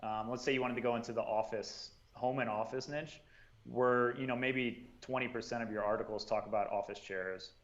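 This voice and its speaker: Man, casual podcast guest, relaxed advice, filler words, middle pitch, slightly dull sound. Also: normal speed. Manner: relaxed, advice-giving, many "um"s and "you know"s. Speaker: guest, marketing and sales coordinator.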